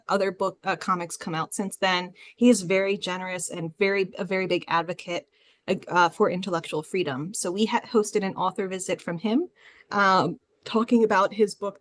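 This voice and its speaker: A woman's voice: medium pitch, a bit bright. A woman speaking informally as an academic librarian on a discussion panel, explaining things in a rambling, conversational way.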